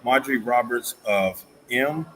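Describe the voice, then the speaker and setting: A man hosting an interview, slightly hoarse, upbeat and stumbling over words. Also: mid-range voice, measured pace, a bit bright, rough recording. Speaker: host.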